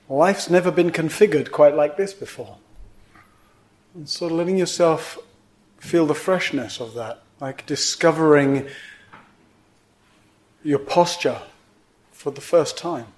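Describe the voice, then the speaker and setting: A man teaching meditation, guiding a sitting in gentle, measured phrases with pauses between them. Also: on the high side. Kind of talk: guided meditation instruction.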